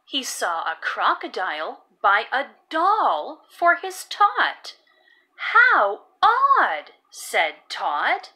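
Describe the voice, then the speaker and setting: A woman reading a children's picture book aloud and teaching phonics, expressive and deliberate, with sing-song emphasis on key words. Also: a little high. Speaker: children's read-aloud narrator.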